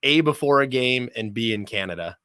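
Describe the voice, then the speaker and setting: Man, sports announcer, podcast guest, bright forceful tone, middle pitch, dry recording, talkative, emphatic. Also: animated, brisk, clean tone, no room sound. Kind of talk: conversational sports podcast interview.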